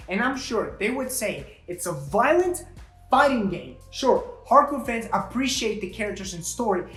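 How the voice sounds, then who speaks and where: Casting: high-voiced male film critic, sarcastic and sweary, comic scripted commentary over faint music. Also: fairly bright tone. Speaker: film review co-host.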